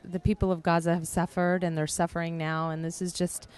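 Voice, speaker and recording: Smooth, lower-voiced woman speaking earnestly. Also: level tone, brisk, a little mellow. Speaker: vigil attendee. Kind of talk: earnest interview statement.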